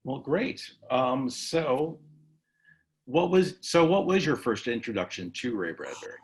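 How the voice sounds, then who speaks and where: A man hosting a casual recorded interview, asking questions in halting phrases with false starts. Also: mid-range voice, animated. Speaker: host. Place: recorded virtual session.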